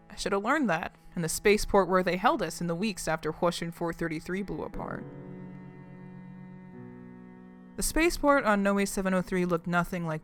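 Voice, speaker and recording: A woman's voice: on the low side, close to an everyday voice. An actress playing a reflective, homesick refugee woman reading her secret diary in an audio drama, confiding and wry. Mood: wry, pensive.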